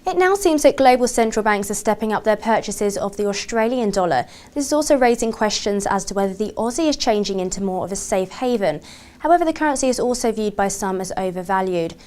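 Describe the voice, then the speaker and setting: A woman presenting as a financial TV interviewer. Her delivery is formal and articulate, with prepared questions read in broadcast style. The voice is of ordinary female pitch. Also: animated, fairly quick, darkish tone. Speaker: TV journalist, interviewer.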